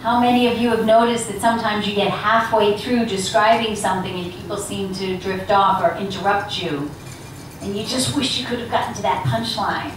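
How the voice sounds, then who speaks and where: A woman leads an engaging workshop talk and keeps turning questions back to her audience. She is recorded from well back in a large, very echoey lecture room. Steady background noise sits under her voice. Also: medium pitch, moderate pace, recorded from a distance. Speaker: guest speaker on elevator pitches. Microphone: handheld mic from a distance.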